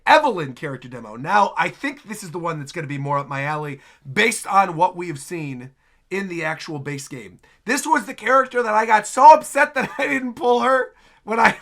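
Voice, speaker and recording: A man giving casual, excited reaction commentary as a YouTuber, animated and slangy with lots of exclaiming. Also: high-pitched. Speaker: YouTuber reacting to game trailers.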